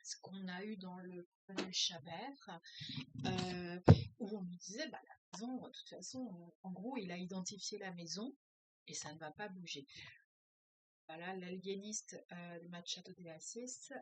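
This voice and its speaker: Dry-sounding French teacher reading aloud. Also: female voice, on the low side, a little slow, fairly bright tone, dry recording, rough recording. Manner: hesitant, many filler sounds, thinks aloud. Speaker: French as a foreign language teacher. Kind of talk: reading a novel aloud with commentary.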